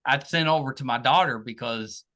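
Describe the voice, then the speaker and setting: A man's voice: mid-range voice, moderate pace, pushed and bright. An older American man hosting a casual, chatty interview in an encouraging, chummy way. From American South. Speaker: podcast host and interviewer.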